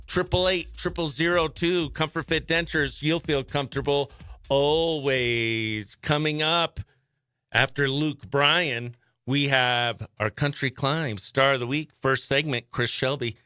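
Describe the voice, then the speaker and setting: A man presenting a country radio morning show live in an upbeat, animated, chatty and exclamatory way. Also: medium pitch, unhurried, smooth, no echo, studio quality.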